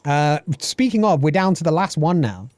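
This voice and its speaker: A British man in his thirties talks loosely to his live-stream chat, swearing and laughing as he goes. His voice swoops up and down with real liveliness and sits a little on the high side. The sound is dry and close, with no room around it. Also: normal speed, no room sound. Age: 30s. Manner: animated, swearing, reads chat aloud and laughs often. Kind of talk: casual live-stream chat with game lore reading.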